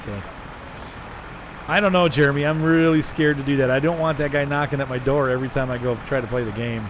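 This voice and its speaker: A hoarse man chatting casually as he streams a driving game, rambling and answering his chat. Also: medium pitch, steady tone, fast. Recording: noisy game sound behind.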